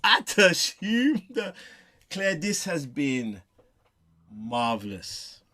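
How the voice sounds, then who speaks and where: A man from Southeast London with a London accent, hosting a faith interview in a playful, laughing, animated way. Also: a little high, slow. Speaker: host. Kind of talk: interview host, casual chat.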